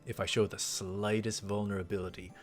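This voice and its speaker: Man voicing a low-toned paranoid mad scientist. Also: little pitch movement, a little fast, faint music behind, close to an everyday voice. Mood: suspicious. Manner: dramatic, suspicious inner monologue. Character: paranoid, grandiose self-styled male mad scientist.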